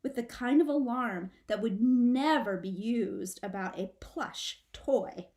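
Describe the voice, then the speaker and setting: A woman reading a children's book aloud like a teacher, with dramatic, expressive shifts of emphasis. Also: on the high side, takes it slowly. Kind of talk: expressive storybook read-aloud.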